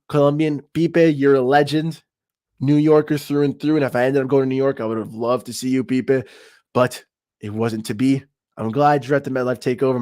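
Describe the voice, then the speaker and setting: A man's voice: medium pitch, darkish tone, dry recording, studio quality. A man in his thirties from Peru, hosting a live-stream sports talk show with hyped, trash-talking banter. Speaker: podcast host.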